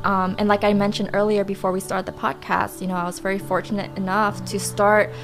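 Reflective young woman guest, mid-pitched. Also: little pitch movement, soft background music. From United States. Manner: reflective, with many pauses and fillers. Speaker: podcast guest. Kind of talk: casual interview.

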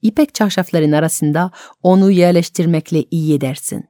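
A woman voicing a young folk tale princess, secretly helpful and caring, giving instructions gently. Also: lowish voice, moderate pace, no room sound, clean studio sound, lightly acted. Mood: caring, conspiratorial.

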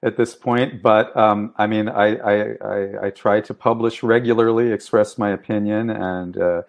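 A middle-aged man, a professor being interviewed, who answers in a measured, deliberate way with some stammering. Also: fairly low pitch, steady tone, creaky. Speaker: guest professor.

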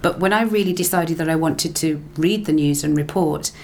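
A woman's voice: deep voice, normal speed, gravelly, a little hiss or hum. A middle-aged woman, casually telling her story in an interview, chatty and laughing easily. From England. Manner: chatty, laughs often, repeats phrases. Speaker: interview guest, broadcaster and lecturer.

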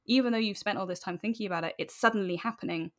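A woman's voice: medium pitch, rapid-fire, fairly bright tone. A woman speaking candidly and informally as an interview guest, animatedly telling personal stories. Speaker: podcast guest.

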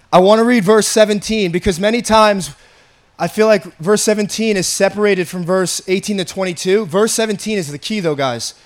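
Raspy high-voiced man preaching. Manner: earnest, conversational, repeats himself. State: hoarse. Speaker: pastor. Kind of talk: sermon.

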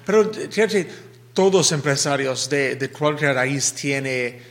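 A man's voice: medium pitch, measured pace, fairly bright tone, in a large hall. A man hosting a casual bilingual interview in an enthusiastic, encouraging way. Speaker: talk show host.

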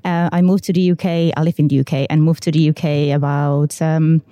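A woman's voice: low-pitched, flat delivery, fairly quick, mellow, no room sound. A woman in her thirties with a Czech accent, a podcast guest who talks upbeat but hesitant, with many fillers. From Czech Republic. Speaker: guest, quality systems manager. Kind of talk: podcast interview.

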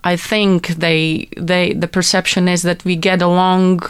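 A woman's voice: lowish voice, little pitch movement, measured pace, clear, resonant tone. A woman from Latvia with a Latvian accent, talking conversationally as an interview guest and rephrasing to stress her points.

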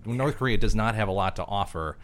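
A man's voice: fairly low pitch, fairly quick, a bit bright. A man in a political discussion, blunt and sardonic, swearing casually. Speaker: panelist.

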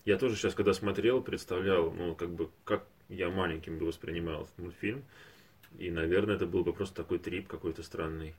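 A man's voice: low voice, fairly even intonation, brisk, husky. A man co-hosting a podcast, discussing reflectively and conversationally, analytical and often searching for words. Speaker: podcast co-host.

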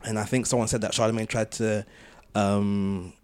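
A man's voice: on the low side, flat delivery, normal speed, a little mellow, smooth. A man co-hosting a casual podcast discussion, thinking aloud in a tentative way. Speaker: podcast co-host.

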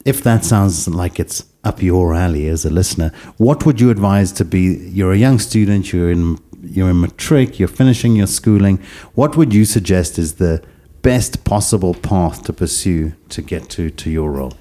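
A man hosting a podcast interview, talking haltingly and searching for words, then closing the show. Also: low-pitched, warm tone. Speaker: podcast host.

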